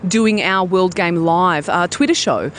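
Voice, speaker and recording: A woman's voice: fairly low pitch, unhurried, slight background noise. A woman football broadcaster answering interview questions fluently and earnestly.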